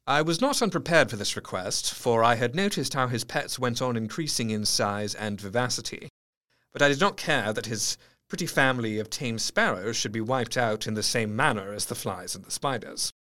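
A man's voice: mid-range voice, animated, normal speed, bright, forceful tone. A man playing an earnest Victorian asylum doctor who narrates his diary in a formal, brooding, intense way. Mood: grave, watchful. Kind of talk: dramatic first-person diary narration.

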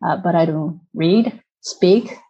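A woman from Japan whose first language is Japanese, answering interview questions in a hesitant way with many pauses and restarts. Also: fairly low pitch, slightly rough, muffled recording. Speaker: podcast guest.

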